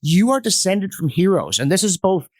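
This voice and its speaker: A man's voice: sits high, big pitch swings, normal speed, dry recording, studio quality. A man telling history in a podcast discussion, earnest and emphatic, digressing and acting out quotes as he goes. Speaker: guest commentator on history. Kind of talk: animated storytelling in a podcast discussion.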